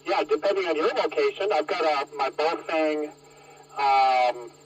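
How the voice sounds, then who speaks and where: A man chatting casually and a little haltingly over two-way radio as an amateur operator. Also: fairly high pitch, steady tone, breathy edge. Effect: heard over two-way radio. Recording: distant, noisy handheld radio transmission.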